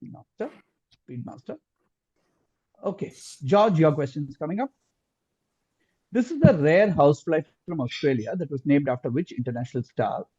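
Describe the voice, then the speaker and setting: A man's voice: a little high, lively intonation, normal speed, pauses often, warm tone, breathy edge. A man working as quizmaster, reading out questions formally in an online quiz, on lo-fi call audio.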